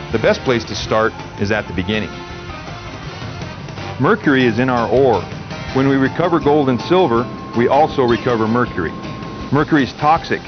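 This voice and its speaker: An earnest American safety trainer played by a man explains things in a measured, instructive way. His mid-pitched voice comes through slightly dull, with music clearly playing behind it, as in an industrial training film. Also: lightly acted. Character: earnest, knowledgeable male mine safety trainer. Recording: dull audio under background music.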